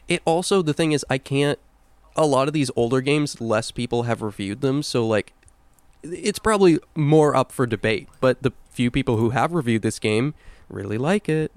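A man's voice: medium pitch, animated, normal speed, a little mellow, dry recording. A man co-hosting a podcast, talking casually and off the cuff about video games. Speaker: podcast co-host. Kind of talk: casual unscripted video game discussion.

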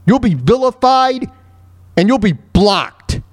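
A man in his thirties hosting a talk show alone, telling stories and ranting casually in a halting, repetitive way with frequent throat clearing. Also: sits high, very animated, no echo, studio quality. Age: 30s. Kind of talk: casual monologue, ranting and personal storytelling.

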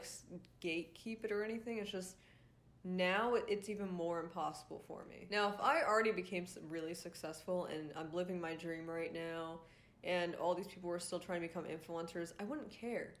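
A young woman from Rhode Island hosting her own podcast, ranting casually with a nasal, congested voice, full of fillers and swearing. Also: medium pitch. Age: young adult. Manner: frustrated, swears often, many fillers. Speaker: podcast host.

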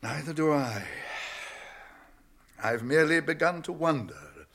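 Wise old wizard, british, radio drama, cryptic and watchful, voice moves up and down a lot. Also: male voice, a little high, very animated, fairly bright tone, full character voice. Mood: grave, cautionary. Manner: measured, cautionary, cryptic phrasing.

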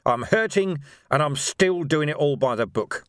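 A man voicing a gruff, righteous middle-aged policeman in an audiobook, speaking in emphatic, colloquial working-class phrases. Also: fairly high pitch, animated, normal speed, fairly bright tone, dry recording, studio quality, pitched above the performer's own voice. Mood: grim triumph. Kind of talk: dramatic audiobook character dialogue.

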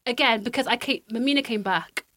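A woman's voice: fairly high pitch, a little fast, fairly bright tone, no echo. A woman, a podcast guest, talking in a bubbly, jokey way and chiming in often. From Wales. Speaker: podcast guest, dance student. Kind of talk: casual chat.

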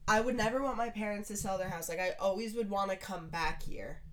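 A woman's voice: mid-range voice, fairly quick, a loud crowd. A young woman in her twenties from Long Island co-hosting a podcast. She talks eagerly and casually, peppering in "like" and curse words. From Long Island, New York. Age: 20s. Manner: enthusiastic, swears casually, lots of "like". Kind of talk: casual chat.

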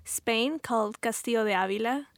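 A woman's voice: a little high, normal speed, vocal fry, no echo, studio quality. A young woman from Utah, a college-age guest introducing herself in a friendly, brief way. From Utah, family from Peru. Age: young adult. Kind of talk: casual chat.

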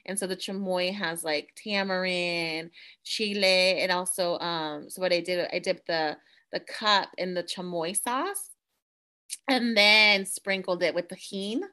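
A woman co-hosting a casual podcast, chatting in an animated way and slipping into Spanish now and then. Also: fairly low pitch, measured pace, clean tone. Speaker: podcast co-host. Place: Central Coast, California.

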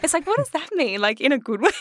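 A woman actress chatting as a podcast guest, telling animated stories, laughing often and acting out the voices she quotes. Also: high-pitched, no echo, studio quality. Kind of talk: casual podcast chat.

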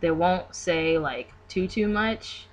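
A woman's voice: lowish voice, slow pace. A woman podcast guest chatting casually and informally, thinking aloud as she goes. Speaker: podcast guest, beauty business owner. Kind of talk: casual conversational chat.

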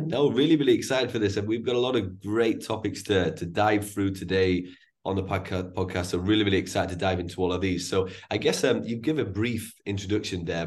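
A man hosting an interview podcast, introducing and prompting his guest. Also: deep voice. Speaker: podcast host.

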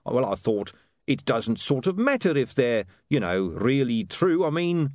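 A man's voice: mid-range voice, very animated, moderate pace, darkish tone, dry recording, studio quality, voice pushed up, close to an everyday voice. A man voicing a cheerfully unscrupulous middle-aged street huckster in an audiobook, matey, wheedling and full of excuses.

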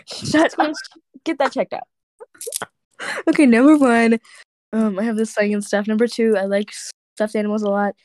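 A young woman hosting a casual group podcast with a teasing, blunt, excitable manner. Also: on the high side, moderate pace, pauses often, no room sound. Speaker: podcast host.

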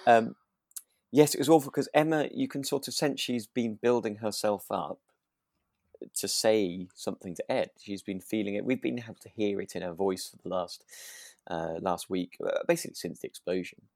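A man co-hosting a relaxed discussion podcast, thinking aloud in a hesitant, rambling way. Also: on the low side, moderate pace, dry recording, studio quality. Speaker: podcast co-host. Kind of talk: relaxed chat reviewing a radio drama.

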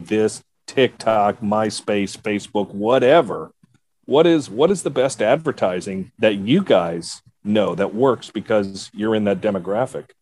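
Lower-voiced man hosting a chat. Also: darkish tone, slight background noise. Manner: conversational, asks long rambling questions. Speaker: podcast host. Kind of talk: casual interview chat.

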